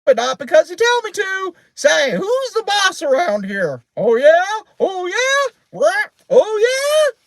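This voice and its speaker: A woman voicing a rude, bossy pet parrot with a squawky, shrill voice, repetitive and taunting, in a storybook reading. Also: very animated, slow, no room sound, studio quality, full character voice. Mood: defiant.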